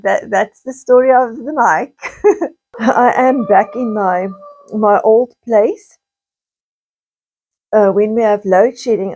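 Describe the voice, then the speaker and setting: South African woman with a slightly croaky voice. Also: lowish voice, darkish tone, poor audio. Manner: rambling, repeats words and trails into asides. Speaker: video blogger. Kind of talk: casual chatty vlog monologue.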